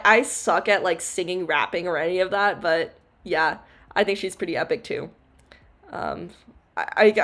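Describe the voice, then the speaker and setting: Young female streamer, casual rambling Q&A, filler-heavy, giggly, low-ish loud voice. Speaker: VTuber streamer. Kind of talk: casual Q&A livestream chat.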